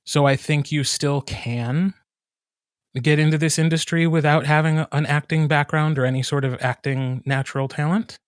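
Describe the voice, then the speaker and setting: A man's voice: a little high, steady tone, normal speed, clean tone, dry recording. Frank, thoughtful man on a dry mic. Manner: thoughtful, frank, speaks of his own voice. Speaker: audiobook narrator, podcast co-host. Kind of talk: casual roundtable discussion.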